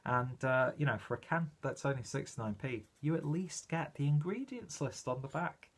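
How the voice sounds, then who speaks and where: Husky, chatty British man. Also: mid-range voice, normal speed. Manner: chatty, self-deprecating, sweary. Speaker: beer reviewer presenting own video channel. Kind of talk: casual, rambling vlog review.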